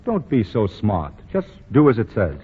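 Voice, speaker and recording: A man's voice: lowish voice, wildly swooping pitch, moderate pace, a little hiss or hum. An actor playing a self-assured young male store boss in a 1940s radio drama who is pompous and stammers and chuckles. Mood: fussy. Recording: dull 1940 radio broadcast.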